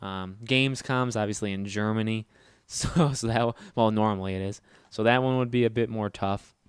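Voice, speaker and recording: A man hosting a casual gaming livestream, talking fast and loud with a nasal voice and rambling as he answers chat. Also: lowish voice, fairly even intonation, clear, resonant tone, dry recording, studio quality. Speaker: livestream host.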